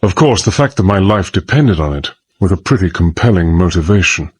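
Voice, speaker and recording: A gentleman adventurer in a dramatized thriller. His husky, fairly deep voice is grave and confiding, with a tense edge suited to dangerous undercover work. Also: animated, a little fast. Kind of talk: dramatized first-person narration.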